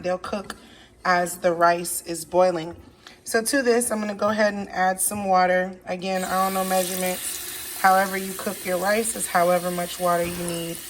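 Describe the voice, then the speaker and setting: Chatty woman cooking in a noisy kitchen. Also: medium pitch. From Haitian family background. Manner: chatty, thinks aloud while working. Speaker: home cook showing a recipe. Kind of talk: casual cooking demo. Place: home kitchen with running tap. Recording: background noise.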